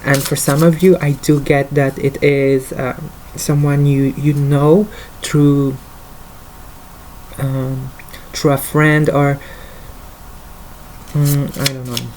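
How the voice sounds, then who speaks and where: A man's voice: mid-range voice, flat delivery, slow, long pauses, darkish tone, a little hiss or hum, poor audio. A man with a French accent, a native French speaker, giving a casual tarot card reading in English, talking hesitantly with many fillers. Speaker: tarot reader.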